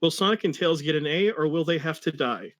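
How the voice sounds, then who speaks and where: A man's voice: a little high, expressive, brisk, fairly bright tone. A man co-hosting a livestream as its reader, talking casually and presenting a story. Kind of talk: casual chat and dramatic reading.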